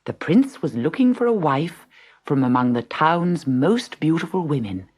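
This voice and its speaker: Low, husky woman storyteller. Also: sing-song, moderate pace, darkish tone. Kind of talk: expressive scripted fairy-tale narration.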